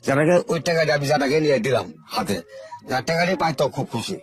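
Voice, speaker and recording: An older man. He tells his life story to an interviewer at a brisk pace and often repeats his words. Faint music plays under his voice. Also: fairly high pitch, expressive, slightly rough. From Bangladesh, Sherpur. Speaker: interview guest.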